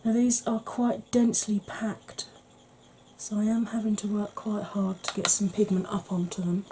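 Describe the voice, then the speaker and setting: A woman from the UK, a beauty vlogger talking through a makeup tutorial in a quiet voice that keeps slipping into whispers. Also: mid-range voice, level tone, normal speed, background noise. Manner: quiet, soft-spoken, drifting into whispers.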